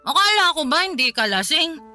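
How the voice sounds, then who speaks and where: An actress playing a tipsy, playful, easygoing best friend in a Filipino radio drama, teasing in a lazy drawl with a Filipino accent. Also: female voice, sits high, sing-song, a little fast, pushed and bright, faint music behind, exaggerated voice.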